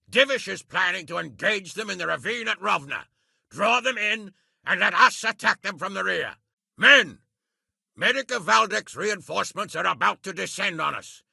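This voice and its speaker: A man voicing a gruff, blunt, hot-tempered medieval lord and military leader in a video game, with a raspy, commanding delivery. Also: fairly high pitch, pushed and bright, no room sound, clean studio sound, heavily acted. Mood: stern, resolute, then shouting commands. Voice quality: gravelly, raspy. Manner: blunt, commanding, coarse-tongued. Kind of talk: scripted game dialogue acting.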